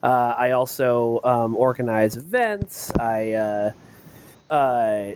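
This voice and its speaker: A man in a relaxed podcast conversation, his mid-range voice stopping and starting as he fills gaps with ums and uhs. He laughs easily between thoughts. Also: mid-range voice, slow, noisy background. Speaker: game community manager, podcast guest. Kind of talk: casual podcast chat.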